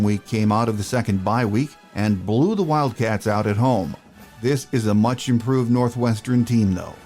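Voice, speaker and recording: Man, podcast host, lowish voice, scripted read, faint music underneath. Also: a little mellow.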